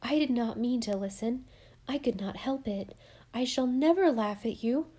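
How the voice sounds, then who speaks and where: A woman reading the part of an earnest young woman in love, her voice lifted higher. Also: normal speed, warm tone, natural voice. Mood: earnest, pleading. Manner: earnest, raised in pitch.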